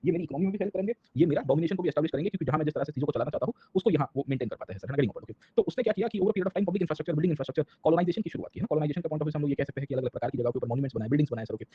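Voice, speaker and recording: An Indian man lectures at a very rapid clip in a husky voice. He teaches informally, step by step, often tacking short check-in tags onto his sentences. The sound is a little dull and lo-fi. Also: a little high, mellow. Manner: mixes two languages mid-sentence. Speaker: history teacher in a live online class. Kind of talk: informal exam-prep lecture, explaining step by step.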